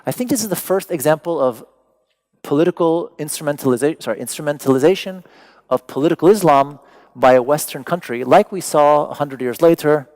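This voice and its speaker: Casting note: gravelly-voiced man, native Persian speaker, lecturing with dry humor. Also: a little high, fairly quick, darkish tone. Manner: wry, digressive, joking asides. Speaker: artist giving a talk. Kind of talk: lecture to an audience.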